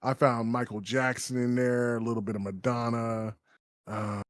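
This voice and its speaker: A man's voice: medium pitch, little pitch movement, moderate pace, no room sound. A man co-hosting a podcast, rambling through stories with lots of fillers and swearing. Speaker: podcast co-host. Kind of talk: casual chat.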